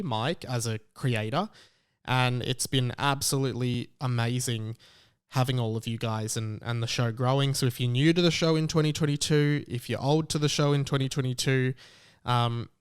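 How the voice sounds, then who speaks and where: A man's voice: medium pitch, smooth. A young Australian man hosting a podcast, talking in a casual, chatty and emphatic way on his own. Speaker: podcast host. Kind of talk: casual solo podcast monologue.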